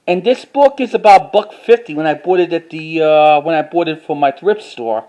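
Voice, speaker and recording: A man with a distinctly high-pitched voice presents collected items in a casual vlog style. His delivery is informal and halting, and he often repeats phrases as he moves through his show-and-tell. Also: animated, a little mellow. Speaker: collector showing items to camera.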